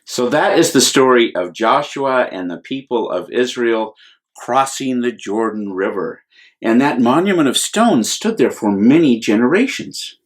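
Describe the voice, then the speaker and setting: A man, a priest, speaking to children in simple, gentle words as he leads a storytime with song and blessing. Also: mid-range voice, lively intonation, measured pace. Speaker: priest telling children's Bible stories.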